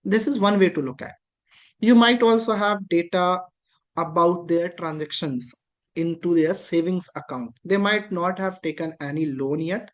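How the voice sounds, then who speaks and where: Patient instructor explaining step by step. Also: mid-range voice. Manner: patient, repetitive explaining with frequent rhetorical questions. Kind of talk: explaining a lesson.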